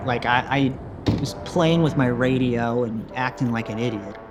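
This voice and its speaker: A man playing a lone survivor in a found-footage horror story, recounting his exploration in a halting, understated, conversational way. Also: medium pitch, close to an everyday voice. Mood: regretful. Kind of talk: reflective found-footage confessional narration.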